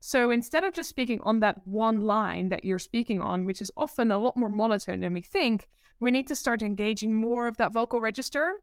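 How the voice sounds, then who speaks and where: A Dutch-accented woman with a slightly high voice, chatting as a podcast guest about her work. She talks expressively and giggles often. The sound is dry and close, with no room echo. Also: a little fast. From Netherlands. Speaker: presentation coach, guest. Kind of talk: casual podcast interview.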